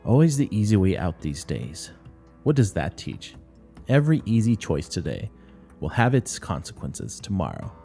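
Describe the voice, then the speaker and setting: Stern senior nun played by a man, mellow low register, animated delivery, severe stage-play lecturing. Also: moderate pace, creaky, faint music behind, full character voice. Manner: curt, severe, moralizing. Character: stern, strict older nun and school principal. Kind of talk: dramatic play reading.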